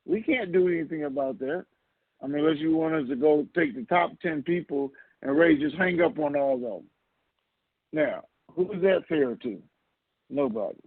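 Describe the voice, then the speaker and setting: Man, blunt explainer on a conference call, creaky voice, thin dry telephone audio. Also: on the high side, big pitch swings, normal speed, no echo. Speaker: co-host answering listener questions. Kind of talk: casual explanatory call-in talk. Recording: narrow, lo-fi phone line.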